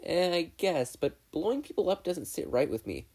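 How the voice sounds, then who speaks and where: A man's voice: high-pitched, sing-song, normal speed, dark tone, pitched above the performer's own voice, heavily acted. A man voicing a nervous, polite teenage boy inventor who is easily flustered and apologetic. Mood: curious.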